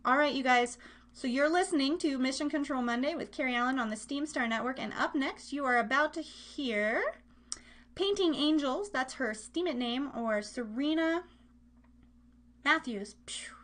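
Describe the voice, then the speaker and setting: A woman's voice: high voice, fairly bright tone. A woman hosting a live online music show in a casual, chatty, gushing way. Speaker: radio show host.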